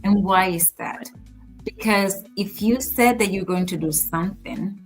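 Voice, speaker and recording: A woman co-hosting a podcast, talking in a measured, earnest, advice-giving way in casual conversation. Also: medium pitch, soft background music. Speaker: podcast co-host.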